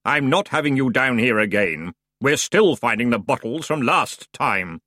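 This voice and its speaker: A man's voice: medium pitch, animated, measured pace, sharp tone, no echo, studio quality. A man voicing a weary, strict male ferryman of the underworld in a storybook, speaking in a stern, grudging, exasperated manner.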